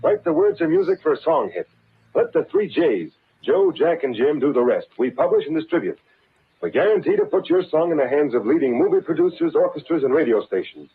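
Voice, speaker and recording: A man playing an old-time radio announcer reading notices and ad pitches in a husky voice. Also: wildly swooping pitch, brisk, exaggerated voice. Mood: promotional. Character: brisk male radio announcer pitching songwriting adverts. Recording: tinny phone-like old radio recording.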